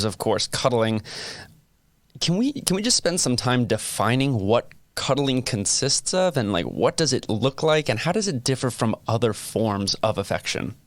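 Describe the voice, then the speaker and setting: A man in his thirties hosting a podcast interview, relaxed and joking, with plenty of ums. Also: mid-range voice, dry recording. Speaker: podcast host, academic.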